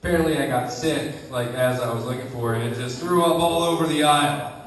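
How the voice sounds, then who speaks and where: A man's voice: medium pitch, brisk, slight background noise. A young man performing a self-deprecating stand-up set with hesitant "I, uh" restarts, recorded by a camera far from the stage. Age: young adult. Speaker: stand-up comedian. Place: comedy show stage with live audience. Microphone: camera recording from a distance. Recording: echoey room from far off, audience laughter.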